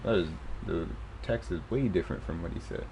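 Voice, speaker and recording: A man's voice: low voice, fairly quick. A man giving casual live commentary as he streams a video game. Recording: game audio and noise behind voice. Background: game sound, noise.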